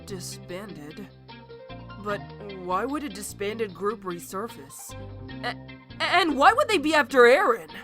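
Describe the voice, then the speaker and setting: A woman's voice: fairly high pitch, sing-song, slow pace, over music. A woman voicing a timid, inquisitive, brainy boy student in an audio drama, hesitant and thoughtful. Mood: puzzled, worried. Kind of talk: scripted audio drama acting.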